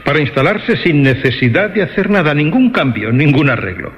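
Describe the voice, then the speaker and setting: An actor playing a formal, courteous, insistent rental agency employee in a suspense radio play, speaking in a measured way. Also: male voice, fairly high pitch, very animated, fairly bright tone. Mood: courteous persuasion. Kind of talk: Spanish-language suspense radio drama acting. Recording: band-limited, long reverb, faint music.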